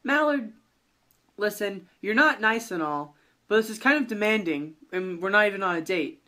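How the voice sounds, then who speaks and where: Man as female duck, blunt, dismissive, bright tone, improvised story. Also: sits high, animated, moderate pace.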